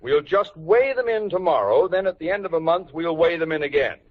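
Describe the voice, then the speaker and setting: An actor playing a bossy older banker and husband in a vintage radio comedy, pompous and blustering. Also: male voice, on the high side, expressive, fairly quick. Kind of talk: 1940s-50s radio sitcom acting. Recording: muffled old radio recording, faint hiss.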